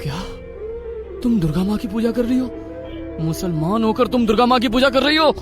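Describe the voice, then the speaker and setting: A man's voice: mid-range voice, fairly even intonation, moderate pace, over music, lightly acted. A man acting angry, menacing male characters in a dramatized moral story, accusing and threatening. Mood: outraged. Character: angry, menacing husband and street men in a moral tale.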